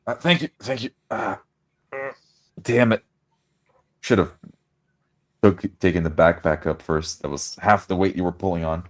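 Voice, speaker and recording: A man's voice: low-pitched, normal speed, long pauses. A man voicing his character in a tabletop roleplay, describing actions and groaning in pain. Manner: groaning, pained in-character talk. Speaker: player.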